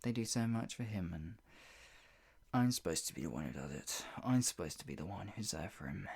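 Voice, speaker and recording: A performer playing a possessive, sadistic yandere captor in an improvised ASMR roleplay, mocking and menacing, with a breathy voice that often drops toward a whisper. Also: low-pitched, lively intonation, darkish tone, full character voice. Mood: jealous, simmering. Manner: menacing, mocking, slow drawn-out taunts broken by laughs and sighs.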